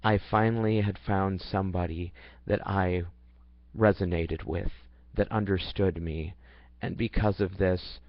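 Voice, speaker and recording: A man's voice: deep voice, steady tone, unhurried, vocal fry, dry recording. A young man reading his personal life story aloud in an earnest, plain way, now and then stumbling and restarting. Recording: dull, dry lo-fi recording.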